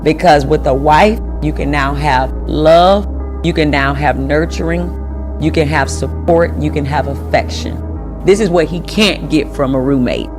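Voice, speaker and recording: Music plays clearly behind a man delivering advice. His voice sits a little high for a man, and he makes his points emphatically, one after another. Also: unhurried. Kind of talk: relationship advice monologue to camera. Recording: clip with music playing behind.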